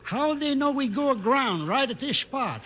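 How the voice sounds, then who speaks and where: Blustery riverboat skipper, high voice, excitable, 1950s radio play, clearly noisy old recording. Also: male voice, moderate pace. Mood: amazed, earnest. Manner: blustery, exclaiming. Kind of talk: 1950s radio western acting.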